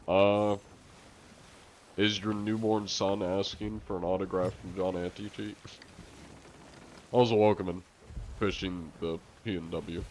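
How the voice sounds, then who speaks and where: A man chatting casually to viewers on a live game stream, congested and coughing now and then, chatty and quick to laugh. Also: deep voice, a little mellow, a little hiss or hum.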